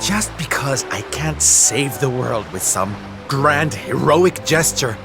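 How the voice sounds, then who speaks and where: An actor playing a flamboyant, big-hearted circus performer in a video game, camp, theatrical and gushing. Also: male voice, medium pitch, animated, takes it slowly, gravelly. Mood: earnest, elated. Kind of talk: video game cutscene acting. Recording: orchestral game music behind.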